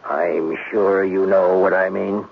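An actor in a 1970s radio drama playing a dogged police detective with a gravelly, husky voice and a blunt, probing manner. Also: male voice, low-pitched, little pitch movement, slow pace, a little hiss or hum, full character voice. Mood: businesslike. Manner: blunt, probing, hesitant starts. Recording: thin, phone-like vintage radio recording.

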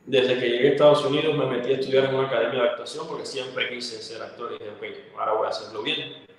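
This young man speaks in a Cuban accent, fluent and storytelling, with fillers between thoughts. His voice rings out in a bare, reverberant room with a long tail. The pitch sits at an ordinary middle for a man. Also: fairly even intonation, fast talker. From Cuba. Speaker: guest, actor and model. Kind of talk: casual interview answers. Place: indoor room, plain wall. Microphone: video call.

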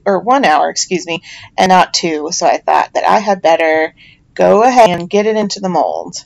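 A woman's voice: fairly low pitch, creaky, poor audio. A middle-aged woman with a chatty, self-deprecating manner, giving a casual voiceover narration as a home soap maker.

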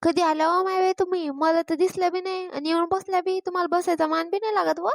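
A woman's voice: very high-pitched, fairly even intonation, quick pace, vocal fry, dry recording. A woman voicing a sarcastic, grumbling village mother and daughter-in-law in a cartoon comedy, with a rural Maharashtrian accent. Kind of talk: Marathi cartoon comedy dialogue.

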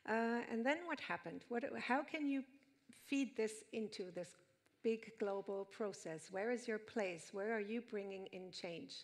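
A woman moderating a conference panel, asking questions briskly into a handheld mic. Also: medium pitch.

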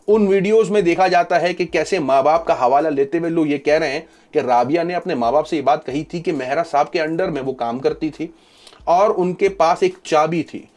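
High-pitched man, emphatic news commentator. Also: darkish tone. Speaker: host of a news commentary channel.

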